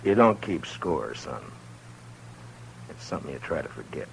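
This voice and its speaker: Deep gravelly marshal, slow and dry, chuckles a lot, old radio western. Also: male voice, very animated, a little hiss or hum, full character voice, poor audio. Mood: amused. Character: calm, dry-humored frontier marshal. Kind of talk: 1950s radio acting.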